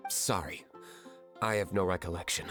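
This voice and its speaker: A man's voice: low voice, moderate pace, over music, natural voice. A man voicing a stern, aloof young tavern owner in a video game, speaking in a terse, cool, formal manner. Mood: cold. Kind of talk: video game character dialogue.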